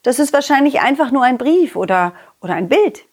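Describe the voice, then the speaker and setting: Woman voicing an excitable, husky storytelling dog. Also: high-pitched, brisk. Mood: relieved, curious. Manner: excitable, childlike, speaking directly to child listeners. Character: confident, loyal, cheerful dog telling children stories. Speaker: puppeteer and storyteller. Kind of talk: children's audio play storytelling.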